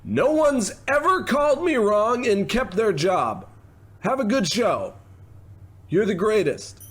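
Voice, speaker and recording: An actor playing a blithe, eccentric showbiz boss around sixty in a comic radio play, breezy and patronizing. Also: male voice, high voice, very animated, slow, breathy edge, exaggerated voice. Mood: breezy, world-weary. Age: 60s. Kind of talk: old-time radio comedy acting.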